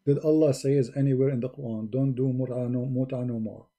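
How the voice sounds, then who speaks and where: A man's voice: mid-range voice, steady tone, quick pace, mellow. A man hosting a live call-in debate, pressing a caller with repeated questions. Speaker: debate host.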